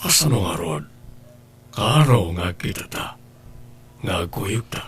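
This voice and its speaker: An earnest suitor in a radio drama. His voice is notably husky and a little low, with a persuasive, confiding manner. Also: animated, takes it slowly, fairly bright tone, heavily acted. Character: earnest male lover and confidant Eddie. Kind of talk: Ilocano radio drama acting.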